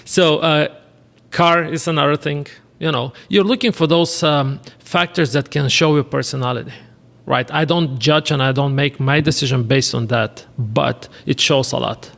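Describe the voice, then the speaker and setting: Bulgarian man, gravelly voice, reverberant room. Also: a little high, steady tone, normal speed, a bit bright. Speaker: podcast guest, real estate investor. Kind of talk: casual interview chat.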